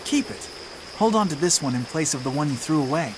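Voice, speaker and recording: A man's voice: on the high side, animated, fairly quick, background noise, natural voice. A man playing an earnest, protective teenage boy in a video game cutscene, caring, impassioned and a little awkward. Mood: awkward, tender.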